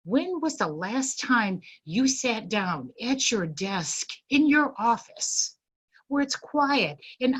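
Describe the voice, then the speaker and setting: A middle-aged woman giving a polite, formal business presentation as a guest speaker in an online meeting, with deliberate emphasis. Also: fairly high pitch, a bit bright, slightly rough. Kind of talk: prepared business presentation to an online networking group.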